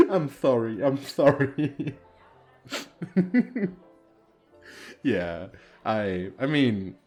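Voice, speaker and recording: A man playing a shy, playful single dad on a speed date in an ASMR roleplay, talking casually with hesitant, self-deprecating, halting phrasing. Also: deep voice, darkish tone, heavily acted. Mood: playful, teasing.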